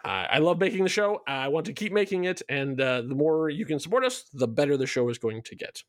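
A man's voice: fairly high pitch, expressive, brisk, no room sound, studio quality. A man speaking as a show creator in a casual promotional chat, rambling along in a jokey, self-deprecating way. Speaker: show creator being interviewed.

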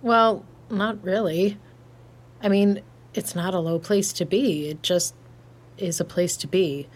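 A woman's voice: mid-range voice, takes it slowly, slightly rough. A woman playing a laid-back, blunt young hardware tech in an audio drama, casual and slangy with dry humor. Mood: reflective, easygoing. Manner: casual, slangy, dryly joking. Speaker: voice actor. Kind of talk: naturalistic audio drama dialogue.

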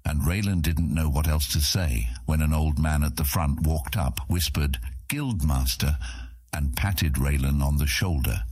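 A man's voice: very deep voice, slightly rough, dry recording. A man narrating a scripted fantasy audiobook in a dramatic storytelling style.

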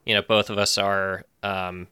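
A man's voice: low voice, flat delivery, a little slow, pushed and bright, smooth, dry recording, studio quality. A wry, self-deprecating man chatting casually as a guest in a podcast interview. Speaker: podcast guest, show co-creator.

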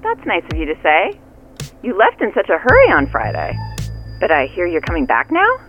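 An actress playing a sweet, chirpy, friendly co-worker over a phone in an audio drama. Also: female voice, on the low side, huge pitch swings, normal speed. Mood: bubbly, sweet. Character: Lauren, bubbly friendly flirtatious woman co-worker. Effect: phone filter. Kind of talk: naturalistic audio drama acting. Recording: muffled phone-filtered sound with music.

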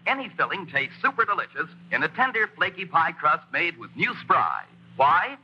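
Lively high-voiced 1940s ad man. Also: moderate pace, husky. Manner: enthusiastic, emphatic sales pitch. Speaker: commercial announcer. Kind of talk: 1940s radio ad read. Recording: dull lo-fi 1940s broadcast.

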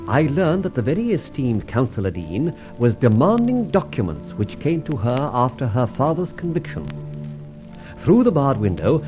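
An actor playing a suave, flippant gentleman highwayman in an old radio adventure serial, urbane and mock-formal. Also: lightly acted. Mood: brisk, pleased. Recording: dull old radio transcription recording.

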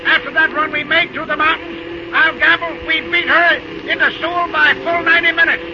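A noisy, muffled old radio recording carries a man acting a very high-voiced railroad hand. He is scrappy and folksy, full of homespun slang. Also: little pitch movement, moderate pace. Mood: eager, puzzled.

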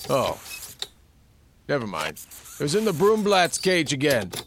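An actor playing a lazy but eager alien husband, muttering and bossy, in an audio comedy. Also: male voice, on the high side, wildly swooping pitch, measured pace, vocal fry, a bit bright, a little hiss or hum, full character voice. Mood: impatient, eager.

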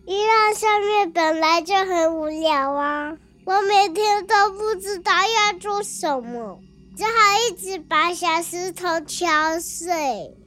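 A very high, squeaky little rabbit from a children's storybook, childlike and stubborn. It drags its words out slowly, with music playing underneath. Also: pushed and bright, exaggerated voice. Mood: matter-of-fact.

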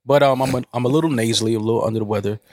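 A man's voice: mid-range voice, racing, dry recording, clean studio sound. Nasal, congested man chatting on a podcast. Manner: animated storyteller who laughs often and swears casually. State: a little nasal from a cold. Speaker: podcast co-host. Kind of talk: casual chat.

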